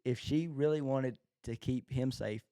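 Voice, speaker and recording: A man's voice: medium pitch, measured pace, warm tone. A man co-hosting a podcast and casually discussing a television show. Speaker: podcast co-host.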